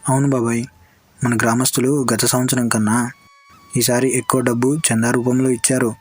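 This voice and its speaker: A man's voice: medium pitch, flat delivery, fairly quick, soft background music, close to an everyday voice. A man playing a stubborn, eager young village man in a cartoon story, talking insistently. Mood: happy. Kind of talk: Telugu cartoon story dialogue.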